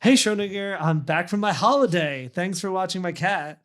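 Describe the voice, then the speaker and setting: A man's voice: high voice, normal speed, a bit bright. Bewildered male friend, acted by a man at a table read, incredulous and exasperated, sketch comedy. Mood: casual. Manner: incredulous questioning.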